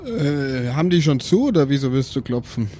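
A man's voice: mid-range voice, big pitch swings, moderate pace, mellow. A man talking casually as a player in an improvised tabletop role-play game. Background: faint background music.

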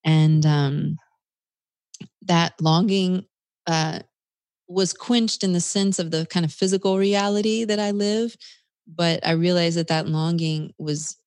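Low-voiced woman, closely recorded and dry. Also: steady tone, takes it slowly, a little mellow, no echo. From United States, South Carolina. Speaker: interview guest. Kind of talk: reflective personal storytelling in conversation.

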